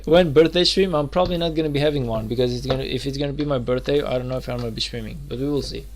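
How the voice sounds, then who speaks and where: A man's voice: mid-range voice, racing, slight background noise. A man in his twenties chatting loosely while streaming a game, rambling with lots of fillers and restarts. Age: 20s. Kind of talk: casual live-stream chat.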